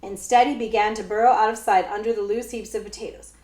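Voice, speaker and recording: A woman reading a children's storybook aloud as a librarian, with expressive narration. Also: mid-range voice, fast. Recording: echoey room.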